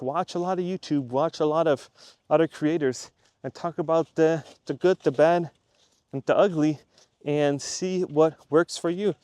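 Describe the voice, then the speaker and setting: A man from Switzerland talks straight to his viewers in a loose, rambling way, circling back to the same point again and again. His voice sits a bit higher than most men's. The sound is dry and close, with no room echo. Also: a little mellow, clean tone. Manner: chatty and rambling, repeats himself for emphasis. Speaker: YouTube creator. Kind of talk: casual talk to camera.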